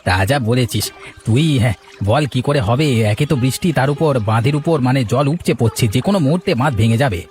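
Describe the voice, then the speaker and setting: Man as a quick-spoken, worried village farmer. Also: medium pitch, a little mellow, soft background music, close to an everyday voice. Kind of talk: dubbed cartoon dialogue.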